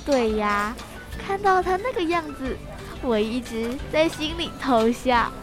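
A woman's voice: high voice, expressive, unhurried, over music. An actress playing a cheerful schoolgirl who helps at her family's food stall in a children's radio drama. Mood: confiding.

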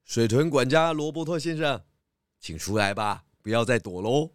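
A man's voice: mid-range voice, expressive, moderate pace, smooth, no echo, clean studio sound, close to an everyday voice. A man voicing a confident, clever pig detective with a jovial, explanatory manner in a children's audio drama. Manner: self-assured, jovial, explanatory.

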